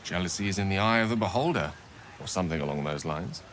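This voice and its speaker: A man's voice: low-pitched, expressive, fast, fairly bright tone, slight background noise. A man voicing a courteous, bookish wizard in a fantasy game, musing in a wry, florid way. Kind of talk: video game dramatic acting.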